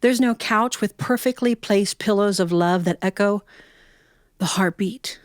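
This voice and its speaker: Casting: American female storyteller, middle-aged or older, medium pitch, folksy and expressive, conversational asides, dry sound. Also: dry recording. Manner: expressive, with unhurried dramatic phrasing and conversational asides. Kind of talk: live personal storytelling, reflective and folksy.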